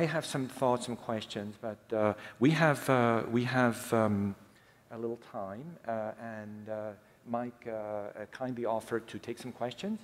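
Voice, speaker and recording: A man chairing an academic session, giving halting impromptu remarks with many stammers and repeated words. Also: lowish voice, creaky. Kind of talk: impromptu remarks after a lecture. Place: large hall, public event. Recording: reverberant lecture hall.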